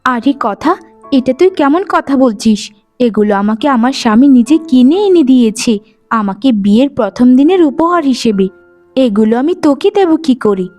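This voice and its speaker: Casting: actress as good-hearted twin sister, high voice, earnest cartoon delivery, music behind. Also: female voice, lively intonation, moderate pace, dark tone, close to an everyday voice. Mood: indignant. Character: kind, trusting young wife, devoted twin sister. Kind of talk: melodramatic cartoon voice acting. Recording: music bed under the voice.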